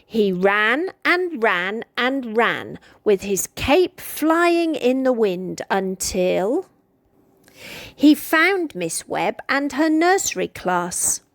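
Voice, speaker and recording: A British woman reads a children's picture book aloud with a very animated, fairly high voice. She takes her time over each phrase as a storyteller, and the sound is close and dry. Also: dry recording. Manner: expressive, deliberate storytelling.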